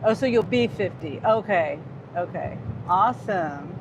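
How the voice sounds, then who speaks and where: A woman's voice: fairly low pitch, animated, unhurried, noisy background. A woman in her fifties, a gig delivery driver, chatting casually on a livestream and reading out viewer comments in a rambling way. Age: 50s. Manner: chatty and rambling, with frequent restarts.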